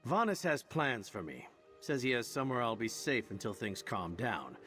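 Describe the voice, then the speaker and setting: An actor playing a troubled, self-sacrificing adventurer in a fantasy video game, wry and rueful. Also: male voice, mid-range voice, very animated, moderate pace, bright, forceful tone, soft background music. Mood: rueful, resolved.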